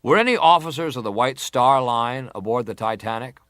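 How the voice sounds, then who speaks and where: A man's voice: medium pitch, animated, fairly bright tone, dry recording, close to an everyday voice. A man playing a probing senator, dry close sound. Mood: formal, probing. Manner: formal, measured, insistent. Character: male American senator, probing formal inquiry questioner. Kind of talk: dramatized reading of hearing questions.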